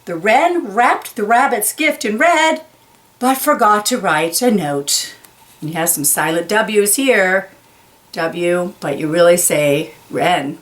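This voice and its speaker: Lady reading a kids picture book out loud, takes it slow, stresses words, explains stuff simply, mid voice. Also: mid-range voice. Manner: slow, deliberate, enunciates key words for young listeners. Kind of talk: children's read-aloud with explanatory asides.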